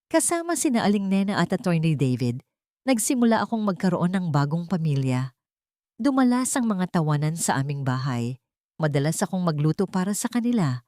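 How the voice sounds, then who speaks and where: A woman reading a scripted first-person dramatic story in a measured, expressive way. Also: lowish voice, no echo, clean studio sound. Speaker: audio story narrator.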